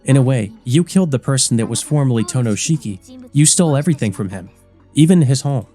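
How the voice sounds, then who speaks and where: A man's voice: medium pitch, expressive, fairly quick, mellow, faint music behind, natural voice. A man voicing a composed, stern but caring older schoolgirl who explains and cautions precisely in a visual novel. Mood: grave, explanatory. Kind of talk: visual novel character reading.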